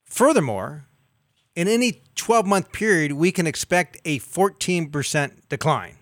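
A man in his fifties co-hosting a call-in advice show, explaining things in a measured way with figures. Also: fairly high pitch, animated, no echo, studio quality. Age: 50s. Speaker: radio show co-host. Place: studio.

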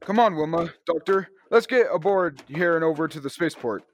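Man as eager high-voiced space hero, noisy recording. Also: expressive, brisk, natural voice. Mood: brisk. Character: eager, impatient male space adventurer hero, brushing off fuss. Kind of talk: old-time radio drama acting. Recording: clearly noisy background ambience.

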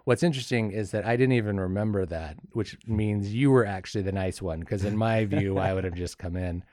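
A man co-hosting a casual chat podcast, his voice a little hoarse. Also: lowish voice, a little fast, mellow, no echo, clean studio sound. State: slightly hoarse. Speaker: podcast co-host. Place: studio.

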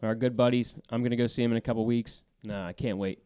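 A laid-back man hosts a podcast around a studio table, his voice smooth and clear. He pads his talk with easy filler words. The room sounds dry and close. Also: medium pitch, fairly even intonation, fast talker, mellow, no echo, muffled recording. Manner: voice that carries. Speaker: podcast host. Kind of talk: casual fly fishing podcast chat.

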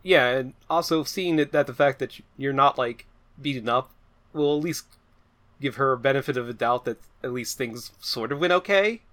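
A man chatting casually as a player in a tabletop game, thinking aloud haltingly. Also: medium pitch, a bit bright. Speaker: player in an actual play podcast.

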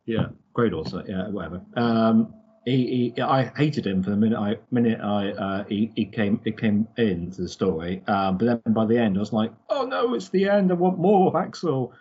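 A man co-hosting a podcast, chatting casually in a halting way and repeating words as he goes. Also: fairly low pitch, expressive, husky, dull audio. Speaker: podcast co-host. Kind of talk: casual chat.

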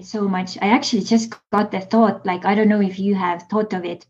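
A woman's voice: mid-range voice, a little mellow, muffled recording. A woman with an Estonian accent, hosting a podcast interview in a chatty way and laughing easily. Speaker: podcast host. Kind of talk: casual interview chat.

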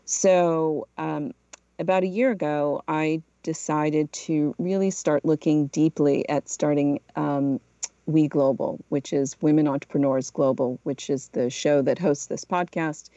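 Woman podcast host, low mellow voice, a bit gravelly, dry dull-sounding recording. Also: steady tone, no echo. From Portugal. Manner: measured, reflective storytelling. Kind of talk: solo podcast monologue.

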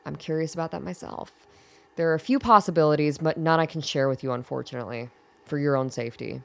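A woman playing a sharp, guarded older doctor in a radio drama, speaking in a dry, formal, clipped way. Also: sits low, quick pace, creaky, no echo. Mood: guarded, businesslike. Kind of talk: audio drama acting.

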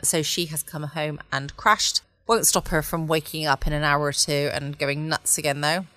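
A woman's voice: deep voice, steady tone, moderate pace, fairly bright tone, dry recording. A woman hosting a bedtime podcast from home in an informal, rambling, chatty way. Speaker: podcast host. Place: at home, close dry recording.

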